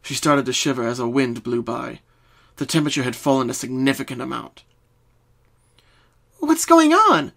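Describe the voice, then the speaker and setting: Male narrator for a scripted dramatic reading, mid-range pitch, storytelling delivery. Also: flat delivery, fairly quick, creaky, fairly bright tone.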